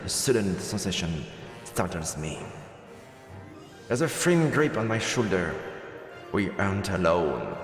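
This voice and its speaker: A man's voice: sits low, reverberant, long reverb tail. A man streaming a game, switching between let's play commentary and reading the story text aloud.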